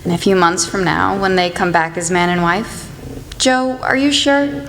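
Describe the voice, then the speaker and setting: A woman's voice: on the low side, normal speed, fairly bright tone, slight background noise. A woman acting in a student radio play as a tender, concerned middle-aged mother. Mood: gentle, concerned. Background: prominent music.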